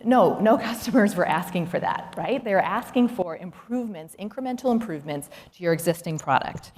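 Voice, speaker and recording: A woman's voice: on the low side, animated, brisk, a little mellow. A woman executive giving a prepared business keynote, speaking in an instructive, emphatic way with rhetorical questions. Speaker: chief executive giving a conference talk. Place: large indoor talk venue. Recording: echoey hall, noticeable background noise.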